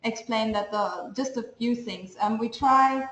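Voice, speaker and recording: A woman's voice: on the high side, unhurried, a bit bright. A woman program staff member adding remarks in a webinar, talking casually with hedging words. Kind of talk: webinar presentation. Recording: echoey room.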